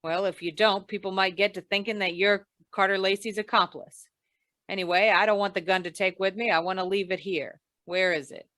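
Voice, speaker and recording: A woman's voice: medium pitch, fairly even intonation, a little fast, a bit bright, no room sound, natural voice. A woman playing a wry, hard-boiled insurance investigator in an old-time radio drama, with dry, tough delivery. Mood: cool, commanding.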